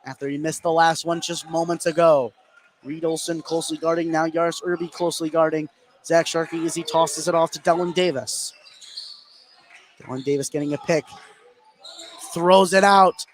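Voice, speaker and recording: A man calling live basketball play-by-play for radio, an excitable announcer whose voice climbs toward shouting on big plays. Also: a little high, moderate pace. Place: courtside in a school gym. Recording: clear gym noise behind the voice. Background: gymnasium ambience.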